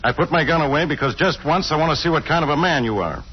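Gruff, husky radio police inspector. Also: male voice, on the high side, fast. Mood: exasperated. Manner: blunt, sarcastic. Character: gruff, exasperated, suspicious police inspector man. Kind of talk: 1940s radio crime drama acting. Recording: muffled old-time radio recording.

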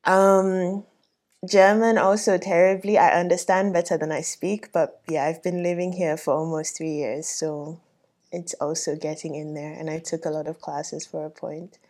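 A woman's voice: sits low, level tone, moderate pace, a little mellow. A woman from Ghana in casual, self-deprecating conversation. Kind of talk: casual chat.

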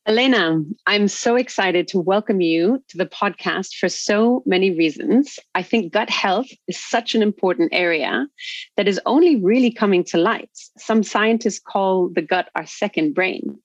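A woman's voice: medium pitch, normal speed, highs cut off. Enthusiastic woman hosting a podcast. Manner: enthusiastic, welcoming. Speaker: podcast host. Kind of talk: scripted introduction then interview questions.

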